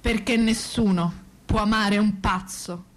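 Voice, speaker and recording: An actress playing a sorrowful asylum inmate, reciting verse in a solemn, incantatory chorus for radio theatre. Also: female voice, medium pitch, fairly even intonation, measured pace, close to an everyday voice. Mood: mournful, solemn.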